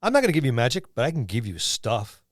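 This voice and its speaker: A man voicing an imposing dragon patron who bargains and quietly threatens in a tabletop game discussion. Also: medium pitch, huge pitch swings, fast talker, no room sound, studio quality. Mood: stern bargaining.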